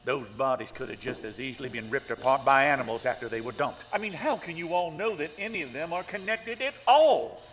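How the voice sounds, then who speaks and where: An actor playing a gruff, skeptical small-town sheriff in an audio horror drama, blunt and sarcastic. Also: male voice, medium pitch, brisk, few pauses, a bit bright, natural voice. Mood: angry, incredulous. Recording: clearly noisy track with clicks.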